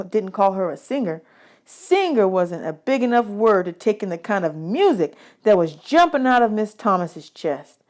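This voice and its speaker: A woman reading a children's novel aloud as an expressive storyteller, drawing out words for emphasis. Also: mid-range voice. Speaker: teacher reading a book aloud. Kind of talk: expressive audiobook read-aloud of a children's novel in first person.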